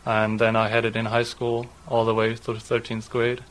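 A young man with a German accent speaks in a lowish voice with very little melody. His delivery is halting and explanatory, that of a native German speaker using English. Some background noise sits behind him. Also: completely flat delivery, a bit bright, low-quality recording. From southwest Germany. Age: 20s. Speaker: interviewee, doctoral student. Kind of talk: read passage, then unscripted personal account.

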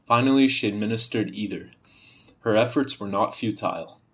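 A man's voice: lowish voice, moderate pace. A young man from Ontario who grew up speaking Serbian, with a Canadian accent touched by Serbian, reading a passage carefully and then talking about himself. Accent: Canadian, faint Serbian. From Ontario, Canada, born in Bosnia. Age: young adult. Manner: even, careful reading; hesitant, filler-laden when talking freely. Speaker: university student, dialect archive speaker. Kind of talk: reading a set passage, then casual self-introduction.

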